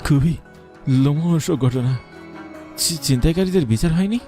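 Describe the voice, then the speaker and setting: A man's voice: on the high side, normal speed, mellow, soft background music, close to an everyday voice. A man voicing a frightened young village boy who tells his ghost story in an earnest, dramatic first-person style for a horror cartoon. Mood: shocked.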